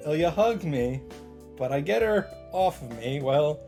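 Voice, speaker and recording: Male game host, fairly high voice, casual story recap and reactions, light music bed. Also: animated, unhurried, creaky, dark tone. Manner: swears casually. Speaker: host of a visual novel playthrough.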